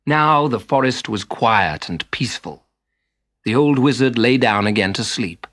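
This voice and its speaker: A man narrating a children's story in an expressive storybook style. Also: mid-range voice, a little slow, no room sound.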